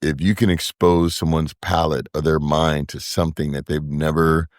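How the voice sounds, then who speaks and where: A man's voice: rumbling low, flat delivery, moderate pace, no room sound, clean studio sound. A man speaking as a podcast guest, reflective and earnest in casual conversation, with the occasional burst of profanity. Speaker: podcast guest, brewery co-founder.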